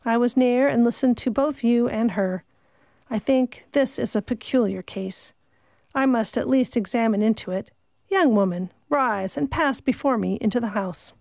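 A calm, commanding young clergyman, as a woman reader voices him, firm and measured in every word. The voice is dropped lower and quieter than her narration. The sound is close and dry. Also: gravelly, no room sound. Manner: measured, authoritative.

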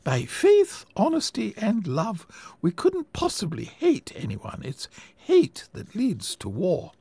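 A man's voice: high voice, huge pitch swings, slow, vocal fry, warm tone, breathy edge, no echo, pitched above the performer's own voice, exaggerated voice. A man voicing a sweet but preachy old woman campaigner, prim and sugary, in a story reading. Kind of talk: reading a memoir aloud.

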